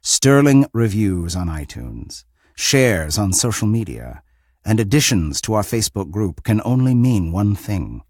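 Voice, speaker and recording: A man with a very deep, rough-edged voice hosts an audio drama anthology. His scripted narration is formal and theatrical, swinging widely in pitch, and recorded close and dry. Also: sing-song, darkish tone, no room sound. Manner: theatrical, formal, deliberate phrasing.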